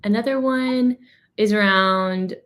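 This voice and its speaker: A woman's voice: medium pitch, slow, a bit bright. A woman hosting a webinar, casually moderating and putting questions to a guest in an informal, encouraging manner.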